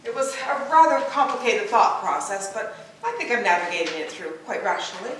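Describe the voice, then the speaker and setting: A woman rehearsing a stage play as an earnest middle-aged wife who justifies herself in a deliberate, theatrical way. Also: mid-range voice, very animated, a bit bright. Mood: earnest, reasoning. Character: earnest, self-justifying wife calmly rationalizing her fantasy affair. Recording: distant, echoey room with background noise.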